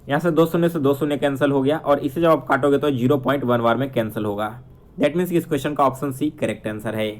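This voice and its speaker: A man's voice: medium pitch, a little fast. A man teaching exam questions, explaining answers step by step and checking in with viewers. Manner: instructional, addresses viewers directly with frequent check-ins.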